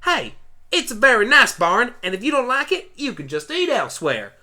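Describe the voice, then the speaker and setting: A woman's voice: a little high, very animated, moderate pace, sharp tone. A woman voicing a plucky, friendly young farm pony girl with a folksy, earnest and feisty manner, in an amateur radio play. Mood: indignant.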